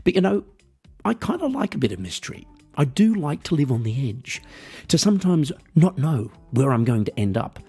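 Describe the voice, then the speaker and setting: An Australian man hosting a podcast, delivering a wry, rambling, mock-earnest comedic monologue with a husky voice. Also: on the high side, very animated, moderate pace, warm tone, faint music behind. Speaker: podcast host.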